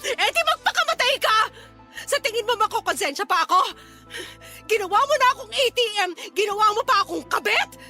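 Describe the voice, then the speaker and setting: Very high, piercing betrayed woman. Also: lively intonation, brisk, sharp tone, faint music behind, heavily acted. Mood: furious, hurt. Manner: emotional, accusatory. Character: betrayed female lover, hurt, furious, confrontational. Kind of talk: radio drama acting.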